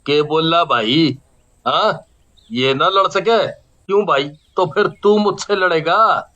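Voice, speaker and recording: A man voicing a gruff, protective ghost father, a wrestler with a Haryanvi accent, in an animated story. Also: fairly high pitch, slow pace. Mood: firm, protective. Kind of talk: Hindi animated moral horror story acting. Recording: muffled recording with music bed.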